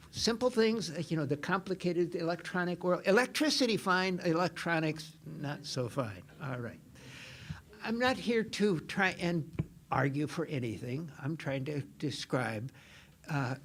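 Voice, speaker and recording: Soft-spoken older man, chatty priest. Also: on the high side. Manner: chatty, self-deprecating, frequent restarts. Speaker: Jesuit priest lecturer. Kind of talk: informal spoken lecture. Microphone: microphone.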